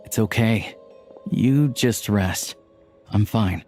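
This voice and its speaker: Low-voiced, patient caring fellow. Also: male voice, slow pace, a little mellow. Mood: gentle, reassuring. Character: patient, caring man who looks after an old woman. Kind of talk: video game voice acting, dialogue and exposition. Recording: background music under the dialogue.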